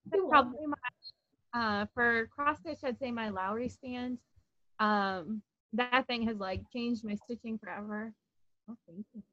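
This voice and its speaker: A woman in her thirties chatting casually with a co-host over a video call from home. Her voice is bright and a little high, with an easy laugh. The sound is dry and close, with the dull, lo-fi quality of a webcam. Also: measured pace, no room sound. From New Hampshire and Virginia, US. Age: 30s. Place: home room.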